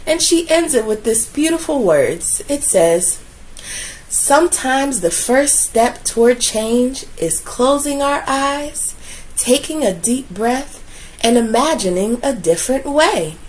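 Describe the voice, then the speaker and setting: A woman reads a children's picture book aloud, slowly and soothingly. Her fairly high voice rises and falls a lot as she brings the story to life. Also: a little hiss or hum, rough recording. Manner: gentle, expressive, slow and soothing.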